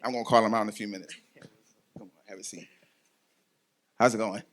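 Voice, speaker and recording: A man's voice: on the low side, steady tone, fast talker, a bit bright. Host, man, 40s, loose rambling talk with frequent laughs. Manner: rambling, many ums, laughs often. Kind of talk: casual chat interview.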